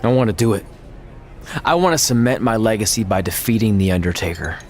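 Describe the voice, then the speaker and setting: An actor playing an ambitious, determined young pro wrestler in a video game, speaking in an earnest, conversational way. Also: male voice, lowish voice, a little fast, darkish tone, a little hiss or hum, close to an everyday voice. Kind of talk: video game cutscene dialogue and narration.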